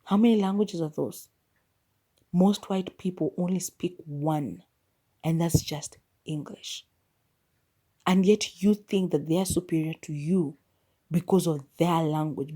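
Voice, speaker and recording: A woman's voice: sits low, a little slow, dark tone. A young woman hosting a solo podcast, speaking her mind candidly and mocking what others say. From Kenya. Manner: opinionated, candid, mock-quotes people. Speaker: podcast host.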